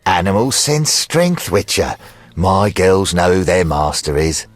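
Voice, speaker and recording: A man's voice: low voice, sing-song, a little slow, dry recording, faint music behind, heavily acted. An actor playing a sadistic, gloating male loan shark with a taunting, theatrical way of threatening, in a video game. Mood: smug. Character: sadistic, menacing male loan shark who keeps beasts.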